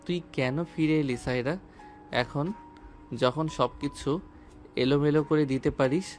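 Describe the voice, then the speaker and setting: A man playing a troubled, conflicted young lover with a brooding, halting delivery in an audio romance drama. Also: fairly high pitch, steady tone, faint music behind, close to an everyday voice. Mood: unsettled.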